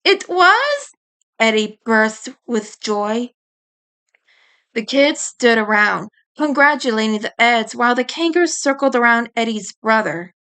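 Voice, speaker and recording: A woman's voice: fairly high pitch, a little slow, a bit bright, smooth. A woman reading a story aloud as dramatic audiobook narration. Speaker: audiobook reader.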